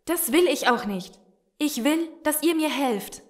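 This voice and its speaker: A desperate young woman in a zombie radio play. Her voice is high, and she speaks with earnest urgency as someone who betrayed others and now wants out. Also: expressive, unhurried. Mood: guarded. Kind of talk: German audio drama acting.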